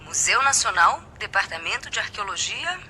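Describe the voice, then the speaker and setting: An actress playing a brisk, puzzled Brazilian switchboard operator on the telephone in a radio play. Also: mid-range voice, very animated. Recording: noisy long-distance phone line.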